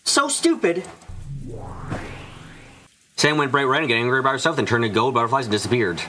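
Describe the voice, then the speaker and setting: A man reading a visual novel story aloud as a streamer, in an expressive storytelling style. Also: mid-range voice, racing, faint music behind. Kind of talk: expressive read-aloud narration with character voices.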